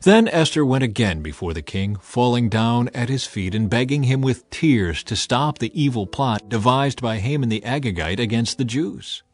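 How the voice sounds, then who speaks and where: A man's voice: mid-range voice, lively intonation, moderate pace, hardly any pauses. A man narrating scripture as an audio drama, with dramatic, emphatic delivery.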